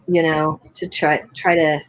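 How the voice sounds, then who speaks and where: A woman's voice: low voice, little pitch movement, a little slow, a little hiss or hum, low-quality recording. Chatty, encouraging American woman host. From Indiana, USA. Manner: chatty, encouraging, many fillers and restarts. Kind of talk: casual video chat.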